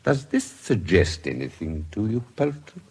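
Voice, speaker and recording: An actor playing a keen older British gentleman detective doctor in a radio drama, measured and precise as he deliberates aloud. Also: on the low side, sing-song, husky, heavily acted. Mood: brisk, methodical. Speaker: forensic investigator.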